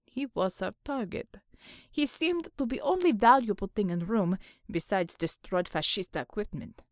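A woman voicing a wary, dour male Russian soldier in an audiobook, speaking measured broken English with a Russian accent. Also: mid-range voice, expressive, no echo, studio quality, close to an everyday voice. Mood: grim, wary.

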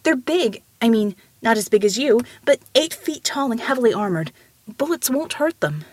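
A woman's voice: high voice, animated, a little fast, dry recording, pitched above the performer's own voice. A woman voicing an earnest female police detective who pleads insistently and babbles with urgency in an audiobook story. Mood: urgent.

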